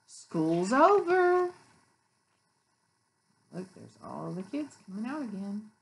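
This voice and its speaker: A woman's voice: mid-range voice, big pitch swings, moderate pace, creaky, dark tone, low-quality recording. An American woman in her thirties, a preschool teacher reading a picture book aloud to young children in a sing-song, emphatic way. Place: small indoor room with painted block walls.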